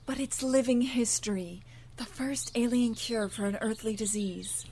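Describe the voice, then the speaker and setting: An eager news reporter played by a woman in an audio drama. She speaks in a fairly high voice, polite but pressing. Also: measured pace, dark tone, husky.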